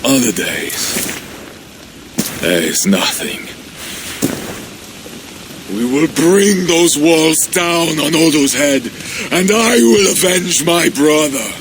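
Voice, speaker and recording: A vengeful Viking chieftain in a game cutscene, grim and deliberate. His voice sits slightly high and he speaks slowly, with rain pattering loudly around him. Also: very animated. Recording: rain noise outdoors.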